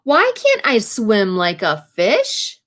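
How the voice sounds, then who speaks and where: A woman with a mid-range voice reads to young children in the manner of a teacher. She gives the story text a deliberate, expressive delivery and adds plain, simple explanations along the way. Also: medium pitch. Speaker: teacher reading a picture book aloud. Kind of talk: children's read-aloud with explanatory asides.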